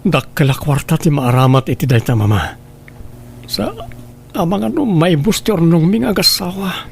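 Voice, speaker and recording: Sick adult son, subdued, sorrowful, worried, confiding, radio drama. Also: male voice, fairly high pitch, lively intonation, moderate pace, vocal fry, a little mellow, a little hiss or hum. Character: ailing, worried grown son with failing kidneys. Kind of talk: Ilocano radio drama acting.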